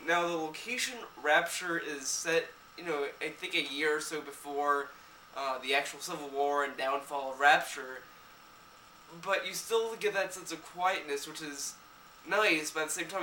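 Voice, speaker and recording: A man's voice: a little high, fairly even intonation, unhurried, bright, forceful tone, roomy sound, distant mic, slight background noise, rough recording. A young man, a little sick, giving a rambling casual review of a game, with many restarts and fillers.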